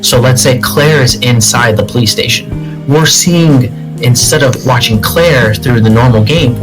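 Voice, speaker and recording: A man's voice: mid-range voice, poor audio. Man hosting over prominent music. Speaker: podcast host. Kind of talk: casual panel discussion. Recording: music behind the voice.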